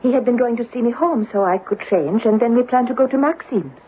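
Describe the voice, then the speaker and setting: A woman playing a wistful, theatrical stage actress haunted by foreboding, in old-time radio drama style with halting, dramatic delivery. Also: a little high, slightly rough. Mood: guarded, composed. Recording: narrow-band old radio broadcast, faint music.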